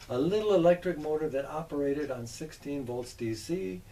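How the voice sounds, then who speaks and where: Mellow-voiced man presenting, slightly high-pitched. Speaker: host of a workshop video on antique radios. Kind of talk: measured presentational narration.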